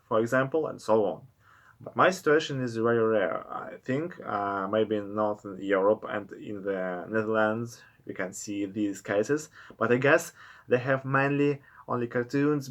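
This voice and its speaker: Man, Russian accent, crisp clear tone, slow careful non-native English with pauses. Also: mid-range voice, fairly bright tone. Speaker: vlogger. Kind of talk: casual talk to camera.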